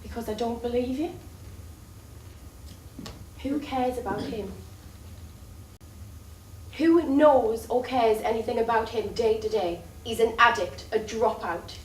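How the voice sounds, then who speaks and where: Actress as a bitter jealous lover, distant and echoey. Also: female voice, a little high, takes it slowly, pauses often. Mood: accusing, probing. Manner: probing, accusatory, rhetorical. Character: bitter, jealous live-in lover of an addict, confrontational. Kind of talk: theatrical stage play acting. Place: theatre stage, recorded from far off. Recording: distant echoey stage recording, faint noise.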